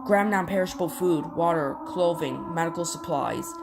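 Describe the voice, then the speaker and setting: A woman reading a scripted emergency broadcast as an announcer, in formal, solemn, measured phrases. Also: lowish voice, gravelly, over loud music. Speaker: emergency alert announcer and scenario narrator.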